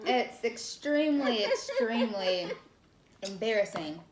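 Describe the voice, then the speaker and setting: A young woman with a high-pitched voice, co-hosting a casual chat with her mother, frank and self-assured. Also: animated, a little slow, heavy background noise. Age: young adult. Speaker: co-host, daughter. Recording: echoey room.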